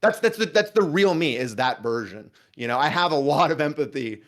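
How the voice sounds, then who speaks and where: A man in casual conversation, talking earnestly and haltingly, often repeating himself as he goes. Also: a little high, lively intonation, fairly bright tone. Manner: earnest, halting, hedging with repetitions. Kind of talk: casual chat.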